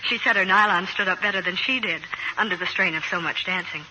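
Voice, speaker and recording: A woman's voice: medium pitch, fast. A young woman, an actress guest, speaking in scripted 1940s radio promotional chat on a noisy old recording. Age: young adult.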